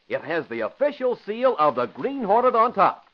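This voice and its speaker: A man giving an eager, urgent, emphatic 1940s radio sales pitch. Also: sing-song, normal speed. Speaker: advertising announcer. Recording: muffled old radio broadcast.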